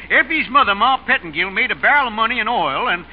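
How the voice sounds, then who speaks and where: High-voiced, swooping folksy Western husband. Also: male voice, expressive, a little fast. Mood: dry teasing. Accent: American Western. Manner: folksy, boisterous, chuckling. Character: jovial, folksy, irreverent Western small-town husband. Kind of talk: 1930s-40s radio comedy acting. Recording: muffled, hissy old radio broadcast.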